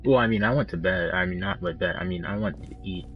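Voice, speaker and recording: A man's voice: sits low, fast talker, muffled recording, slight background noise. A man chatting casually with a friend while playing video games. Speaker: gaming friend in voice chat. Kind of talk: casual chat while gaming. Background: faint game music.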